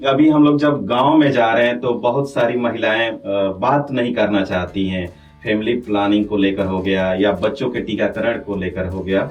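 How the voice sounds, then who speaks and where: A man's voice: lowish voice, steady tone, moderate pace, distant mic, lo-fi sound. A man with a North Indian accent hosting a radio interview and putting questions to his guest.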